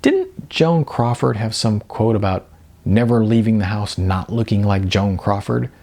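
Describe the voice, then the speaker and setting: A man's voice: lowish voice, lively intonation, measured pace, a little mellow. A man voicing a friendly, modest woman who hopes to act, with a casual, slightly hesitant manner, in an audiobook. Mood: friendly, curious.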